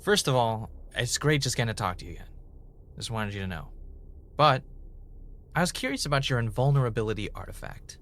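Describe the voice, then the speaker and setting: An actor playing an intense, brooding podcast host in an audio drama, defensive and unnerved. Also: male voice, mid-range voice, lively intonation, fairly quick, fairly bright tone. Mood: earnest. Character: intense, obsessive, unnerved male podcast host, former game-show contestant. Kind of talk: audio drama mixing confessional monologue and conversation.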